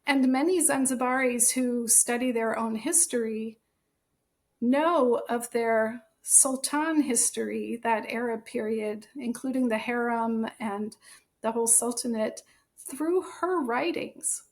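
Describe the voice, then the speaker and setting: A woman's voice: on the high side, slow. An American woman speaking as an interview guest, explaining things in an articulate, conversational way with emphasis. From United States. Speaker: author and translator, interview guest.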